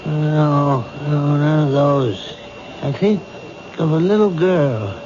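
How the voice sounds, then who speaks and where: An actor playing a weary, grieving widower who gropes hesitantly for words in a radio drama. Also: male voice, a little high, takes it slowly. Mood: vague, groping. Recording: muffled, noisy old radio recording.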